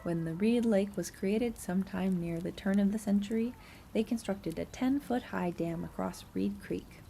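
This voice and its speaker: A woman with a soft-edged, mellow voice reads scripted narration as a tour guide would. Her delivery is measured and informative, and there is some faint noise behind her. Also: medium pitch, creaky. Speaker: student narrator of an audio nature tour.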